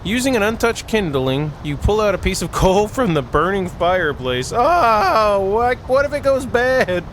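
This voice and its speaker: A man with a high, very lively voice hosts a playthrough of a visual novel. He reads the text aloud and reacts playfully, with some noise in the background. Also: measured pace. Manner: expressive, playful, exclaims and laughs. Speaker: gameplay host.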